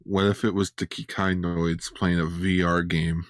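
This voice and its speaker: A man's voice: low voice, level tone, unhurried, no echo, clean studio sound. A man co-hosting a podcast, chatting casually as a fan with joking speculation. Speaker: podcast host.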